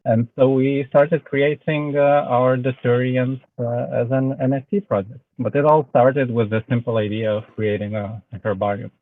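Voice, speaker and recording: A man from Lithuania speaking English with a Lithuanian accent, a guest artist chatting casually, pausing often with filler sounds. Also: mid-range voice, little pitch movement, no room sound. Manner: hesitant, many filler sounds. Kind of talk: casual chat. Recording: tinny phone-like online call audio.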